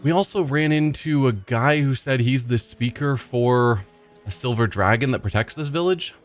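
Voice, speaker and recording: A man's voice: medium pitch, normal speed. A man hosting a let's play, narrating and questioning characters with dry, skeptical asides. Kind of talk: gameplay commentary and reading dialogue. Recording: faint game music behind.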